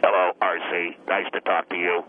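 A man's voice: low voice, monotone, moderate pace, voice pushed down, lightly acted. A man putting on a mocking fake computer voice, stilted and synthetic, for a radio comedy. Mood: stilted mock greeting. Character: mocking impression of Stephen Hawking's synthetic speech. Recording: tinny, noisy phone line.

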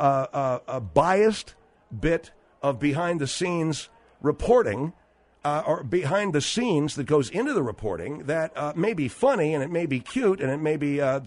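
Halting male radio talk host. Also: on the high side, darkish tone, no room sound. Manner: halting, many fillers, quizzing guests. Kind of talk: radio talk show hosting.

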